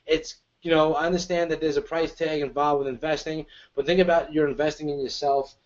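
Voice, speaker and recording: A man with a slightly high voice makes a pushy, slangy sales pitch. His audio comes through a muffled, lo-fi video call. He keeps calling the audience "guys" and "bro.". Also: little pitch movement, fairly quick. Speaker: co-presenter and marketer.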